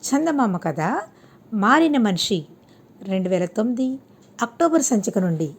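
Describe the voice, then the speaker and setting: A woman's voice: mid-range voice, sing-song, a little slow, breathy edge. A woman narrating a scripted story as an audiobook storyteller.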